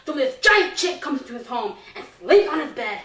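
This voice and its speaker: A woman performing gruff, crude comic characters in a student fairy-tale comedy. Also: sits high, sing-song, normal speed, fairly bright tone. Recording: roomy, distant mic.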